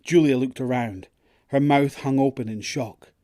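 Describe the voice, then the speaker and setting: A man's voice: mid-range voice, normal speed, dark tone, no room sound, clean studio sound. A man narrating a scripted audio drama story.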